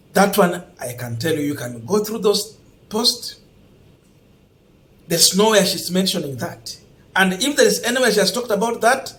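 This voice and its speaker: A Kenyan man hosting online political commentary, speaking emphatically and deliberately and repeating points for stress. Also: sits high, fairly bright tone. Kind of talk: political news commentary to camera.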